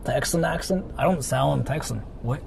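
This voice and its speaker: A man talks to his live chat from behind the wheel, moving between casual remarks and loose singalongs. His delivery is easygoing and relaxed. Faint car noise hums underneath. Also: a little high, normal speed, vocal fry. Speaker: streamer. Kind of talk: casual live stream while driving.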